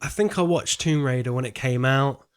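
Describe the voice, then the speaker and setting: A man's voice: medium pitch, normal speed, smooth. A man co-hosting a podcast, discussing films casually and thinking aloud, often repeating a word as he goes. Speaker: podcast co-host.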